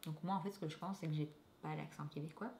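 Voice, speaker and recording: A woman's voice: deep voice, steady tone, fast talker, creaky, a little mellow, roomy sound, slight background noise. A young woman from Belgium with a Belgian French accent lightly colored by Québec, chatting casually to her viewers with lots of fillers and restarts. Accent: Belgian French, lightly tinged by Québec. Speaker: vlogger answering viewer questions. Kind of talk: casual vlog talk.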